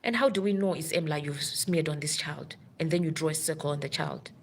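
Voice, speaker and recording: A woman's voice: low-pitched, a little fast, slight background noise. A woman who works as a nurse, telling a long story in a rambling, urgent way on a live stream. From Africa.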